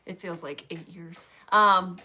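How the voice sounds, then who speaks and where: A woman's voice: lowish voice, steady tone, slow, sharp tone, slight background noise. A woman co-hosting a casual live stream from a phone, chatting and laughing as she greets viewers. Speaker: co-host of a stitching live stream. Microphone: phone camera.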